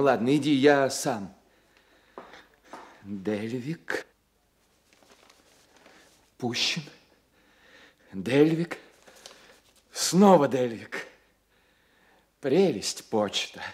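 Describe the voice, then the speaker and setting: Young poet, ardent, affectionate, expressive, measured pace, radio play declamation. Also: male voice, medium pitch. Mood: eager, fond.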